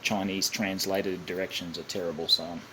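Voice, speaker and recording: A man giving a casual, unscripted hands-on demonstration, halting and thinking aloud as he goes, often correcting himself. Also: sits low, steady tone. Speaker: hobbyist demonstrating a kit build. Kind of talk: casual unscripted how-to narration. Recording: clearly noisy amateur home recording.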